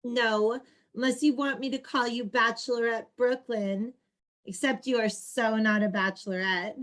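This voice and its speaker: A woman's voice: fairly high pitch, steady tone, moderate pace, a bit bright, lightly acted. A woman playing a perky, earnest young homebody in a comedic play reading, with emphatic girlish slang. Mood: teasing.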